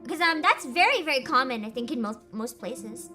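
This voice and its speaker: A woman with a high, bouncy voice chats on a stream, breaking into giggles between stories. Her pitch jumps all over as she talks, and music plays steadily behind her. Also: a little fast. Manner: giggly, laughs often, animated storytelling. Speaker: VTuber streamer host. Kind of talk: casual chat stream.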